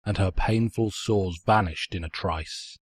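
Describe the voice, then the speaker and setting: Husky, low-voiced man narrating with mock pomposity. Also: a little slow, no echo, studio quality. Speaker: narrator, fan fiction reader. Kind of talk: theatrical scripted story narration.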